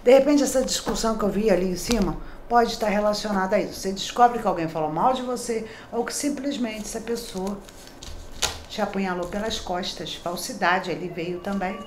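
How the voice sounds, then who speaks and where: A woman's voice: medium pitch, fairly quick, a little mellow, a little hiss or hum. Chatty woman reading tarot, echoey room. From Brazil. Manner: chatty, reassuring, tag questions. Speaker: tarot reader. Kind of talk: daily horoscope tarot reading. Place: small decorated room indoors.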